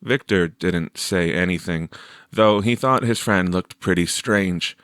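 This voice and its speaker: A man reading a short story aloud as expressive scripted narration. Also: low voice, measured pace, clear, resonant tone, no echo, studio quality. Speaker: audiobook reader.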